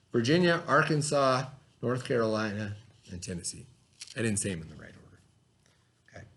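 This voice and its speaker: A man reading a lesson aloud as a teacher, explaining as he goes and checking understanding with simple questions. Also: mid-range voice.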